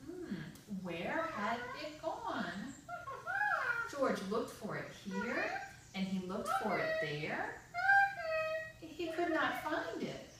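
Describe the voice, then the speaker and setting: Echoey, animated woman reading to children. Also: on the high side. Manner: expressive, drawn-out words, prompting young listeners. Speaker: storyteller at a children's puppet show. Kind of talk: read-aloud children's storytelling with questions to listeners. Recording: echoey, noisy room, distant microphone.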